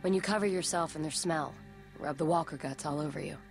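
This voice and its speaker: An actress playing a calm, detached survivor in a dramatic video game, with a matter-of-fact delivery. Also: female voice, low-pitched, brisk, soft background music. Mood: calm, assured. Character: calm, detached adult female survivor of walker herds.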